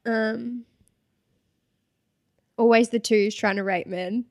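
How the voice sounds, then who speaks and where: A woman's voice: a little high, fairly even intonation, takes it slowly. A young woman co-hosting a podcast, casually reading hate comments aloud and laughing often. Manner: giggly, reads comments aloud with mock voices. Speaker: podcast co-host.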